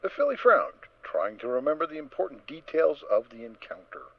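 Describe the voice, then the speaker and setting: Expressive male story narrator, lively pitch. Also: normal speed, gravelly, lo-fi sound. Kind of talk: dramatic scripted story narration.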